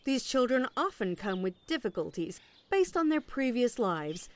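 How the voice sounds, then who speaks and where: An officious female agency representative in an audio drama. Her voice sits fairly high and swings expressively through a polished sales patter. She stays politely relentless, with sarcasm tucked under the courtesy. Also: big pitch swings, normal speed. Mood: coolly pointed. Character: smooth, officious woman agent, politely relentless with veiled sarcasm. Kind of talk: audio play acting.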